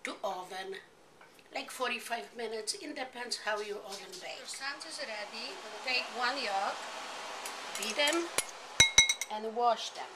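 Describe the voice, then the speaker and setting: An older woman with a Polish accent hosts an informal home cooking demonstration, speaking in simple, halting non-native English phrases. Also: on the high side. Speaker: home cooking show host. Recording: noisy amateur home video.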